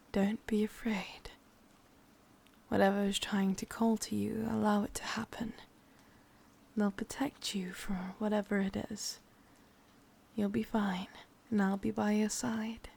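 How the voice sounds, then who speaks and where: A woman voicing a sadistic, possessive witch lover in a breathy, husky whisper with an English accent, intimate and teasing, for an ASMR roleplay. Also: medium pitch, a little mellow, full character voice.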